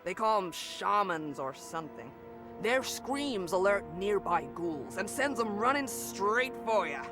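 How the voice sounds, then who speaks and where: A man's voice: high voice, sing-song, unhurried, music in the background. A man voicing a folksy, colloquial survivor handing out advice in a video game. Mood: cautioning. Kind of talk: video game character acting.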